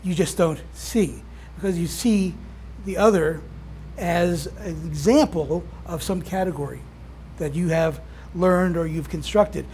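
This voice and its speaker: A soft-spoken man leads a class discussion, halting and thinking aloud in a high voice. There is faint noise in the room behind him. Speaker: instructor. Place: classroom with air conditioning.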